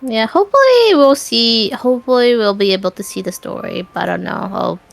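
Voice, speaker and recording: A woman's voice: a little high, animated, a little fast, creaky. A woman co-hosting a game stream, giving short, casual reactions. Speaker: gaming stream co-host. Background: game music.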